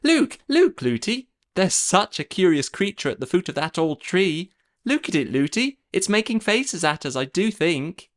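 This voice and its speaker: A man voicing a small princess girl who chatters breathlessly in a high voice. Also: big pitch swings, normal speed. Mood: chattering.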